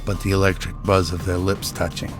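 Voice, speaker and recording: Male narrator for radio drama: deep voice, dramatic scripted storytelling over a faint music bed. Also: level tone, a little fast.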